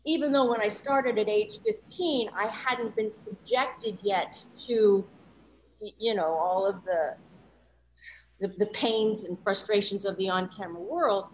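A woman's voice: medium pitch, a little slow, slight background noise, rough recording. A woman voice-over coach speaking as an interview guest, candid and reflective, often adding "you know" as she talks. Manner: earnest, reflective, many "you know" asides.

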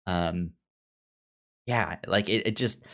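A man co-hosting a podcast, discussing comics casually, haltingly, often repeating and stuttering over words as he goes. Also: low voice, creaky, a bit bright. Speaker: podcast co-host.

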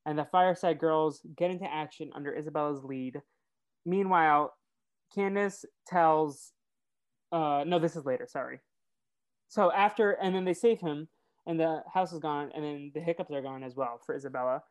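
Low-pitched nasal woman, chatty podcast co-host. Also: normal speed. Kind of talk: casual episode recap and chat.

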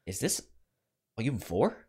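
A man co-hosting a casual review discussion, halting and repeating words as he thinks out loud. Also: on the low side, very animated, slightly rough. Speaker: podcast co-host reviewing comics.

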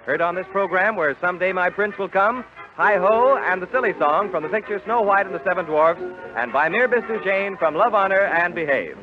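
Old timey radio host guy, animated and high, muffled recording. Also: brisk, over music. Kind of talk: 1930s radio announcing.

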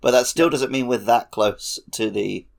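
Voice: mid-range voice, moderate pace, gravelly. A podcast co-host in casual conversation, joking and teasing. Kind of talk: casual chat.

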